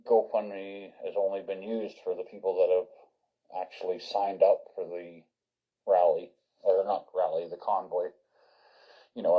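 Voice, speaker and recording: A man chatting casually over CB radio, explaining things in a halting way. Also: low-pitched, breathy edge. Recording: dull lo-fi CB radio, phone-recorded.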